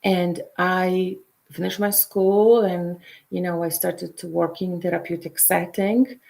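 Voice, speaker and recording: A middle-aged woman with a Polish accent guides listeners through a calm meditation and shares her own story. Her voice sits fairly low and her pace is very slow and unhurried. She speaks softly and at times sinks into a whisper. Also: creaky. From Poland. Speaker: intuitive counselor and show host.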